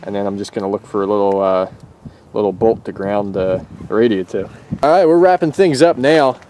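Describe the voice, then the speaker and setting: A man's voice: on the low side, moderate pace. A man casually explains hands-on work in a loose, rambling way, as the host of a how-to vlog recorded in and around a car. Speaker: host of a car radio install video. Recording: steady background noise, outdoor and vehicle ambience.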